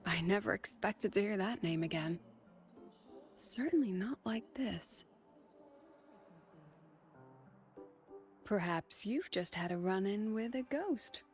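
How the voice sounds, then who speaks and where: A woman's voice: mid-range voice, animated, unhurried, soft background music, natural voice. Poised, teasing academy president voiced by a woman. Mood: intrigued. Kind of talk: video game voice acting.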